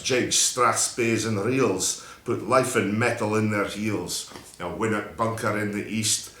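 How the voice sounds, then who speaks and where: A man's voice: lowish voice, sharp tone, roomy sound. A man with a Scottish accent giving a theatrical, emphatic recitation of a narrative poem in broad Scots dialect. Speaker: poetry reader.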